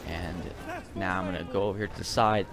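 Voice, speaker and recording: A man's voice: low voice, fairly even intonation, a little fast, creaky, fairly bright tone. A man giving casual video game commentary in a rambling, hesitant way, often repeating himself.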